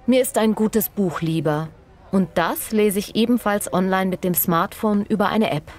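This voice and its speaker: A woman's voice: mid-range voice, moderate pace, a little mellow, close to an everyday voice. A woman playing a practical working passer-by, giving matter-of-fact answers in a scripted language-lesson dialogue. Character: practical working woman stopped for a street survey.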